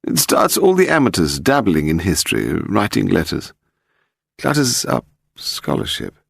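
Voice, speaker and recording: A male narrator acts out a nervous publisher in an audiobook. He is fussy and clipped, with lively pitch movement and a little gravel in the voice. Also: normal speed, no echo, studio quality, heavily acted. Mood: complaining. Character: anxious, hurried male publisher, fussy and evasive.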